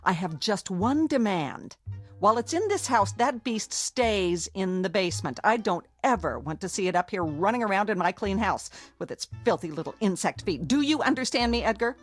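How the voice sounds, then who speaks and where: An actress playing a sharp-tongued, exasperated middle-aged American wife in an audio drama, sarcastic, biting and bossy. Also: female voice, medium pitch, expressive, moderate pace, soft background music, natural voice. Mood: grudging, bossy. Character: sharp-tongued, scornful, exasperated wife, fussy about her house.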